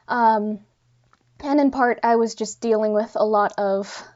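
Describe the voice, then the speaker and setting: A woman's voice: on the high side, steady tone, unhurried, a little mellow. A woman hosting a podcast solo and unscripted, thinking aloud and correcting herself in casual talk, with a slightly congested voice. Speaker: podcast host. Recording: dull, lo-fi sound.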